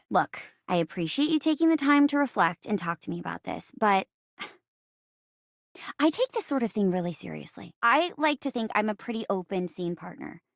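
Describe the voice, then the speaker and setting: A high-voiced young actress chair come to life for a video game. She is earnest and casual, with a very animated, swooping delivery. The sound is close and dry. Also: dry recording. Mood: firm, disappointed. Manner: animated, earnest, casual, halting when uncomfortable. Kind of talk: video game character dialogue.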